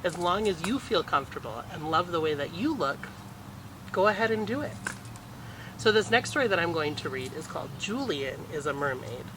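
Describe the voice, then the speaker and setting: A man's voice: a little high, lively intonation, normal speed, far from the mic, background noise. A man reading picture books aloud expressively as a storytime reader, with friendly asides to children.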